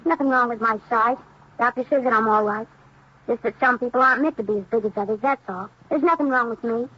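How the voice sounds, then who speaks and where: A performer acting a sullen, defiant fourteen-year-old boy in a 1950s radio crime drama, with a husky young voice and curt, sarcastic delivery. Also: medium pitch, fast, pushed and bright. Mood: touchy, defensive. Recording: muffled old radio recording.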